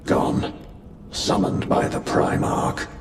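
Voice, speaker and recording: A man's voice: takes it slowly, lightly acted. A man playing a severe, solemn warrior-priest in a video game, grave and ceremonial, declaiming in an echoing hall. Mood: stern. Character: solemn, severe male warrior-priest judging his brother. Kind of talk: dramatic video game acting. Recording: echoing hall, music behind.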